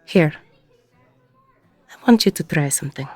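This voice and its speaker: A woman playing a weary, regretful ex-convict bar owner in an intimate audio roleplay, with a husky, gravelly voice and a reflective delivery full of pauses. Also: deep voice, lively intonation, darkish tone, dry recording. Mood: wry, amused. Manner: slow, reflective, pausing mid-sentence.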